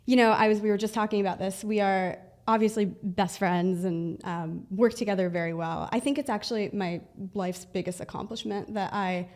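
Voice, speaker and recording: A young woman from Israel who grew up in Los Angeles, a guest in a studio interview, friendly and quick to laugh, with a lot of fillers. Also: mid-range voice, fairly even intonation, a little mellow. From Israel, raised in Los Angeles. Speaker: guest, app founder. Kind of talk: casual interview chat.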